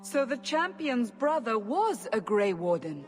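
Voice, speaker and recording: A woman's voice: fairly high pitch, big pitch swings, a little slow. A woman playing a stern, formal interrogator in a fantasy game, insistent in her way, with music behind. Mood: probing. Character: stern, probing female interrogator. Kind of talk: cinematic fantasy game dialogue.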